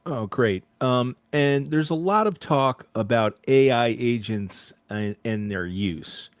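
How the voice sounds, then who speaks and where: A man's voice: mid-range voice, expressive, slow pace, no room sound. A man moderating a question-and-answer session, reading out audience questions.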